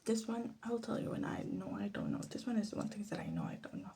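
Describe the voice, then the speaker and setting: A soft-spoken woman giving casual, rambling ASMR commentary, hesitant and drifting into whispers. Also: mid-range voice, wildly swooping pitch, fast. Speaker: ASMR creator making perfume. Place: small room at home.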